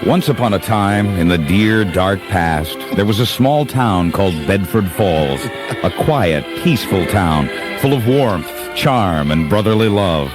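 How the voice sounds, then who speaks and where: Man as storybook narrator for a holiday radio play, deep, measured and wistful, music underneath. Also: animated. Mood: solemn, wistful. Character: male storyteller narrator of a wistful Christmas tale.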